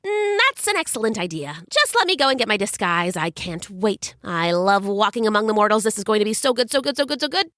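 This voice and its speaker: A woman's voice: mid-range voice, unhurried, few pauses, fairly bright tone, clean tone, no echo, clean studio sound, lightly acted. A woman voicing a speedy, chatty male messenger god whose words run together in a jumble. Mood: eager.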